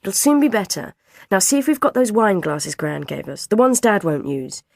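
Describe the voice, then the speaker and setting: A woman's voice: mid-range voice, expressive, moderate pace, vocal fry, a little mellow, no echo, clean studio sound, voice pushed up. A woman voicing a harassed, flustered mother who hurries her children along.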